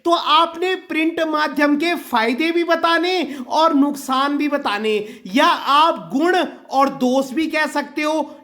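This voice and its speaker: A man with a strikingly high voice teaches an exam-prep lesson while his throat is sore. He speaks straight to his students, numbers each point and goes back over the list, and he keeps checking in with them as he goes. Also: a bit bright. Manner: addresses students directly, lists points and repeats them; often checks in with "theek hai".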